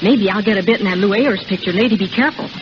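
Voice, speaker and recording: An actress playing a flustered young hopeful in a 1930s radio sketch, whiny and pleading. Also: female voice, medium pitch, quick pace, lightly acted. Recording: hissy muffled old radio.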